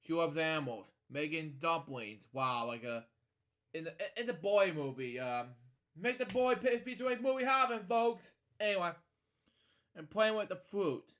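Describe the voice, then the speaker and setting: A man with a fairly high voice hosts a casual storybook read-along. He wanders off into opinionated asides and speaks straight to his listeners. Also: expressive, moderate pace, fairly bright tone. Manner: digressive, opinionated commentary addressed to listeners. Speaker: podcast host.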